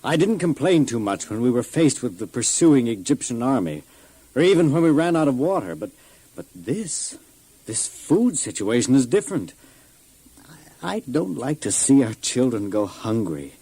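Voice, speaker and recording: A worried Israelite father in a dramatized Bible story for children. His voice is a little high and rough, with big swings in pitch. He grumbles earnestly and hesitates, in a dry close recording. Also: big pitch swings, normal speed, dark tone, no echo, rough recording. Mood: worried, grumbling. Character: worried, complaining Israelite father and elder Eliab.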